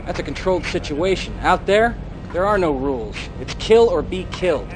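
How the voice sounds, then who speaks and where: An actor playing a hard-bitten mech squad leader in a game cutscene, with a blunt, sardonic, commanding manner. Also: male voice, sits high, expressive, normal speed. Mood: stern. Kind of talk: live-action military sci-fi acting. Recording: noisy old game video, machinery hum.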